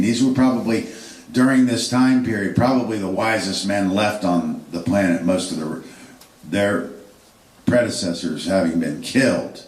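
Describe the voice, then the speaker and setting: A man with a very deep voice lectures on history off the cuff, wandering through his points with fillers and restarts. He sounds distant from the mic, and the room is echoey. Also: darkish tone. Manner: rambling, frequent fillers and restarts.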